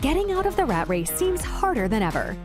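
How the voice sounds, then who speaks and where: A woman reads a scripted show intro in a polished, emphatic way. Her voice sits high and moves widely in pitch. A loud music bed plays under her. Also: very animated, fairly quick. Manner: polished, emphatic announcer read. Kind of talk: scripted promo narration.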